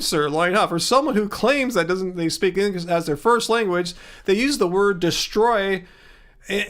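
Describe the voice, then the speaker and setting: Host, male, middle-aged, high-pitched, rambling casual chat reading comments. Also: fairly bright tone, clear, resonant tone. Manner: chatty, rambling, many fillers and restarts. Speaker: livestream host answering chat.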